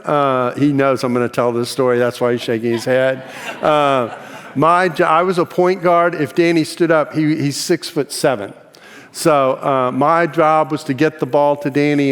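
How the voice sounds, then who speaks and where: A man's voice: fairly high pitch, clean tone, big-room sound. An older man hosting an interview, talking in a friendly, rambling way with many fillers and restarts. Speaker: interview host.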